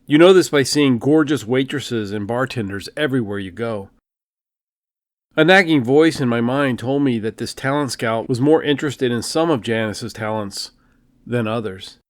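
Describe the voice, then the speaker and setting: A man's voice: medium pitch, normal speed, no echo. A man narrating a first-person novel as an audiobook, in a dry, wry storytelling manner.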